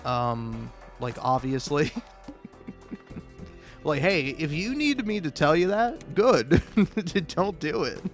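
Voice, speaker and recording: Rambling, sweary man with a higher-pitched voice. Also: expressive, takes it slowly, long pauses, soft background music. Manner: sweary, self-deprecating, meandering; chuckles often while talking. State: high on drugs. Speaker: video game streamer. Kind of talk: casual rambling gameplay commentary.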